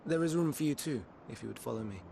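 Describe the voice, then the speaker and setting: An actor playing a polite, gentle, reserved scholar in a video game, speaking formally and softly. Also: male voice, mid-range voice, lively intonation, quick pace, gravelly, slight background noise. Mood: courteous. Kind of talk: video game cutscene acting.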